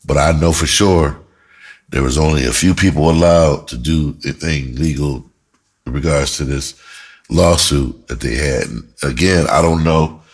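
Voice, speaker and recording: Very deep, husky middle-aged American man. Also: normal speed. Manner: rambling, candid, slangy. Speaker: storyteller, writer and music producer. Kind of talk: casual, explicit personal storytelling.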